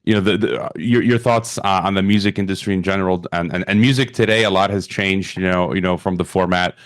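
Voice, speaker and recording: A male podcast host asking interview questions in a halting way, repeating words as he goes. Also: low-pitched, steady tone, dry recording, studio quality.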